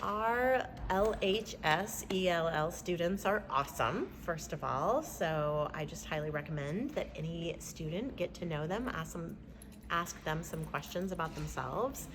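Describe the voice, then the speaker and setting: Adult female teacher, low, chatty with fillers, clear background noise. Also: animated, measured pace. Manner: warm, rambling with fillers. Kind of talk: casual interview. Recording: noisy background.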